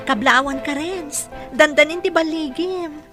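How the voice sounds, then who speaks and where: An actress plays a supportive woman in an Ilocano radio drama. Her very high voice carries an admiring manner over faint music. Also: a little slow. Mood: admiring, encouraging. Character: supportive, admiring woman congratulating a new doctor.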